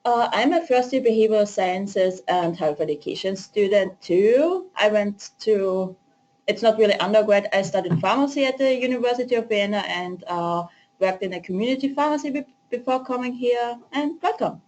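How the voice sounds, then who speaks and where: A woman's voice: mid-range voice, moderate pace, darkish tone, poor audio. Woman, from Austria, grad student, uneven pace. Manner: uneven pace, self-correcting. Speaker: graduate student.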